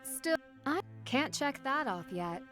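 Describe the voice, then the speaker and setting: A sharp, curious young woman detective in a video game. Her questions come brisk and polite, and her voice moves up and down a lot over faint background music. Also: high voice, big pitch swings, exaggerated voice.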